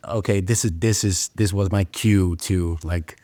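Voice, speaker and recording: A man with a very low voice talks as a guest on an interview podcast. He speaks haltingly, often stopping on "uh" and starting sentences over. The recording is dry and close. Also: steady tone, mellow, no echo. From Netherlands. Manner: halting, with frequent "uh"s and restarts. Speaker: guest, pastry chef. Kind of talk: casual interview chat.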